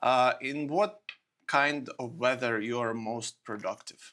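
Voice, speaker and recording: A man hosts a podcast in loose, rambling and laughing as he goes. His voice is bright and a little forceful, set at an ordinary mid pitch. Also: measured pace, a little hiss or hum. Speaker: podcast host. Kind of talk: podcast interview.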